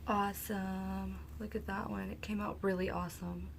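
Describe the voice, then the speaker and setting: A woman hosting a soap-making video, talking softly and casually because her throat is sore and she is losing her voice. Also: mid-range voice, level tone, normal speed, vocal fry, slight background noise. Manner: soft-spoken, talks quietly. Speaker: soap-making channel host. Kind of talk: casual craft tutorial narration.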